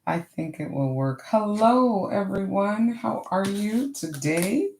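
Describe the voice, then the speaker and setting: A middle-aged woman with a hoarse voice, hosting a casual crafting livestream and chatting to viewers as she works. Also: medium pitch, expressive, takes it slowly, a little hiss or hum. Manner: chatty, greets viewers warmly and often. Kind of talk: casual live craft chat.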